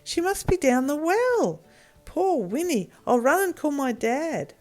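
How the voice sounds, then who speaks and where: An excitable farm boy from a storybook played by a woman, pitched high and full of urgency. He is emphatic and caring, with a lively swing to his words. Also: unhurried. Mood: alarmed.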